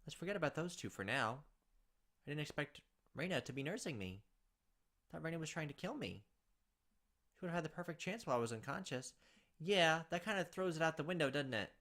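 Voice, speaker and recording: Fast-talking male let's play reader. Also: a little high, animated. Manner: dramatic reading broken by joking asides. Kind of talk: reading game text aloud with reactions. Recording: game music playing loudly behind.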